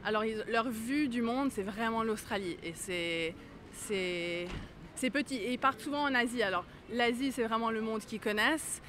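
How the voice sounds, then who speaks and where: Female street interviewee, English mother tongue with light Swiss French accent, slightly high pitch, halting delivery, noisy outdoor recording. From Australia. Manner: hesitant, searches for words. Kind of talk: casual spontaneous street interview. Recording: outdoor street with loud traffic noise.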